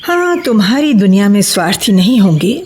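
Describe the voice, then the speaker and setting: An actress playing a reserved, solitary woman who cherishes silence, speaking in a measured, pensive, guarded way in a Hindi radio play. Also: medium pitch, very animated, a little mellow, slight background noise, natural voice.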